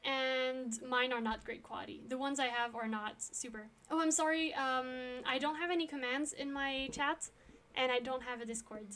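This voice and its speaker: A woman's voice: high-pitched, fairly even intonation, normal speed, a bit bright. A young woman from France chatting casually with her live stream viewers, talkative with frequent ums and little laughs.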